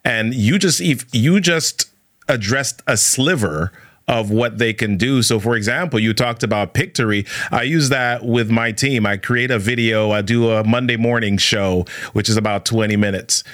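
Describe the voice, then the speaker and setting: A man's voice: mid-range voice, moderate pace, a bit bright. Male host, enthusiastic, supportive reactions, conversational. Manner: enthusiastic, affirming interjections. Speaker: podcast host. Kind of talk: conversational interview.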